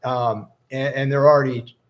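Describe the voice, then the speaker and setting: A man's voice: mid-range voice, level tone, moderate pace. A man casually hosting a live investing chat, rambling and hesitant, with an upbeat tone. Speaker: stock investing livestream host.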